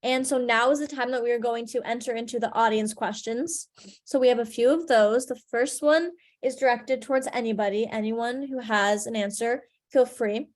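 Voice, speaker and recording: A woman moderating a panel on a video call, reading out audience questions in a polite, measured way. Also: fairly high pitch.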